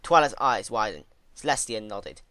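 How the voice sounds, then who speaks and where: Male narrator for dramatic fiction: bright, punchy, lively intonation, dry recording. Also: fairly low pitch, moderate pace, no room sound. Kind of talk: dramatic fan fiction reading.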